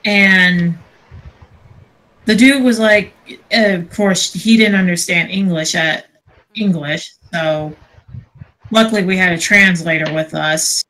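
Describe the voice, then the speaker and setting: A woman chatting casually and telling stories in a sweary, animated way, acting out what people said. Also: on the low side, slow, poor audio. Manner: sweary, animated retelling with acted-out dialogue. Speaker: podcast co-host. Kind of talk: casual storytelling chat.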